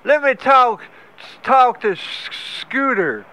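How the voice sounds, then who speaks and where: A man's voice: very high-pitched, animated, takes it slowly, bright, forceful tone, full character voice, poor audio. A man doing a stammering, angry hillbilly. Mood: indignant. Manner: stammering, hurling folksy insults. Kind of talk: prank phone call.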